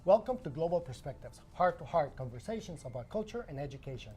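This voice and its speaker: A man's voice: a little high, very animated, quick pace, music in the background. A man hosting an interview show, asking curious questions in a chatty way and often restarting his sentences. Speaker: host. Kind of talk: interview host.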